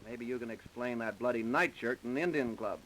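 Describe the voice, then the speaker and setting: A man's voice: medium pitch, fairly quick, fairly bright tone, natural voice, rough recording. Guy doing a old-time radio police captain, american, sardonic and pressing, plain-spoken, vintage recording. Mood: probing. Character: skeptical, dogged police captain grilling a suspect. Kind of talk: 1930s radio acting.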